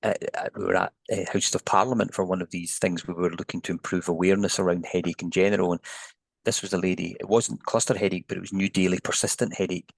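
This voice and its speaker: Deep, gravelly Scottish man. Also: fairly even intonation, quick pace, dry recording. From Scotland. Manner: thoughtful, hedging explanations with restarts. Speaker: headache neuroscientist guest. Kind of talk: podcast interview.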